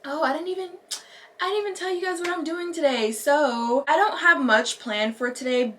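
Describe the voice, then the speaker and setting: A woman's voice: fairly high pitch, a bit bright. A young American woman chatting casually and informally to her vlog audience, stretching out words for emphasis. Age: young adult. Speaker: lifestyle vlogger.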